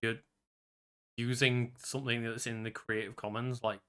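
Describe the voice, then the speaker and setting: A man's voice: medium pitch, flat delivery, moderate pace, bright, forceful tone, clear, resonant tone. A man chatting casually and conversationally as a podcast co-host. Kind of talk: casual chat.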